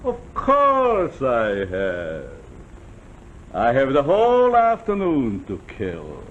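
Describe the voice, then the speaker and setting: A man's voice: mid-range voice, wildly swooping pitch, takes it slowly, a bit bright, lo-fi sound, exaggerated voice. An actor playing a proud, imperious general who boasts of his fine palate, in a late-1940s live television drama. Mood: insistent. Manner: imperious, insistent, scoffing. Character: proud, pushy general, boastful connoisseur of wine. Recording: noisy old 1940s television soundtrack.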